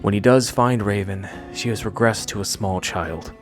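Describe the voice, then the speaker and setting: A man delivers scripted video-essay narration in a fairly low voice. Faint music plays underneath as he tells the story. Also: moderate pace.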